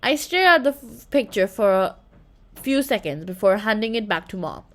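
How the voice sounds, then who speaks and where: A woman reading a children's story aloud in an expressive manner, occasionally stumbling and correcting herself. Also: medium pitch, moderate pace. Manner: expressive, with slips and self-corrections. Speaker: children's book reader.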